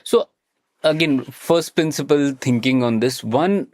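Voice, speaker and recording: A man's voice: mid-range voice, measured pace, darkish tone, no echo, clean studio sound. A man with an Indian accent hosting a podcast, discussing policy in a conversational way and putting questions to his guest. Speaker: podcast host interviewing a guest.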